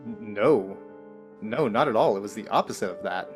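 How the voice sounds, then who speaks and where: A man's voice: on the low side, expressive, moderate pace, close to an everyday voice. A man acting as a shaken, guilt-ridden, cornered character in a script table read, hesitant and stammering. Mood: shaken, guilty. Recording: music playing behind the voice.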